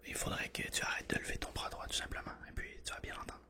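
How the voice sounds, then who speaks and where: A man doing a hushed ASMR doctor roleplay, guiding the listener patiently and reassuringly. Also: fast talker. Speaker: ASMR creator playing a doctor. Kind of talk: whispered ASMR medical roleplay.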